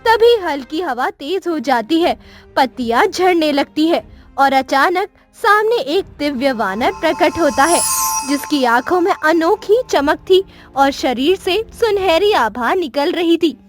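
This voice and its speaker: A woman tells a children's story in a scripted, dramatic style. Her voice is high and her pace slow, with music playing underneath. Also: animated. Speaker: storyteller, narrator.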